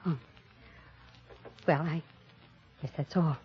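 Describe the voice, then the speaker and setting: An actress playing an anxious young woman hiding a crime, speaking dramatically and deliberately in a 1950s radio mystery. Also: low voice, steady tone, breathy edge, full character voice. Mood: tense, secretive. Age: young adult. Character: anxious young woman hiding a murder, narrating her ordeal. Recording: muffled old radio broadcast, music behind.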